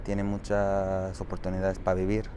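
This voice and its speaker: A man's voice: low-pitched, monotone, normal speed. A man, talking through his answers in a casual interview outdoors and correcting himself as he goes. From Saudi Arabia. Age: 30s. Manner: thoughtful and explanatory, with frequent self-corrections. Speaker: interviewee, doctoral student. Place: outdoors on a city street. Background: faint traffic.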